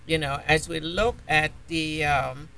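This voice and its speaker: A man as a guest on a radio show, talking in a halting way with many fillers. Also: on the high side, steady tone, vocal fry, pushed and bright, a little hiss or hum, poor audio. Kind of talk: casual talk-radio comment.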